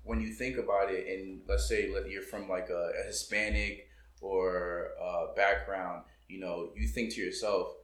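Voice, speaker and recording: A man's voice: low-pitched, flat delivery, a little slow, fairly bright tone, reverberant. A man from Boston, a guest on a casual podcast, talking in a loose, reflective way with many fillers. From Boston, United States. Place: coworking room.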